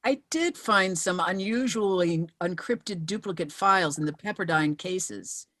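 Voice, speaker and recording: A woman's voice: fairly low pitch, measured pace, fairly bright tone, no echo, clean studio sound. An actress reading the part of a determined widow in her fifties at a screenplay table read. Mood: sly, confiding.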